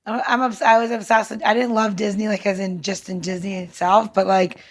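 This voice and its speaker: A woman's voice: medium pitch, level tone, brisk. A woman in casual conversation, asking questions and reacting in an emphatic, incredulous way with free swearing.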